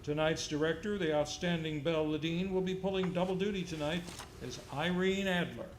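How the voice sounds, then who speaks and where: A man's voice: a little high, fairly quick. A man hosting a live old-time radio show, announcing from a script in a theatrical, playfully comic way on a theater stage. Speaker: series host and announcer. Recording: clearly noisy live stage recording.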